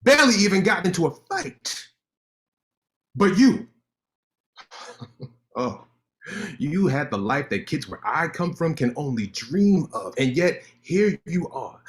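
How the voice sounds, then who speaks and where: Man voicing a cutting sarcastic lawyer, high lively voice, stage-play reading.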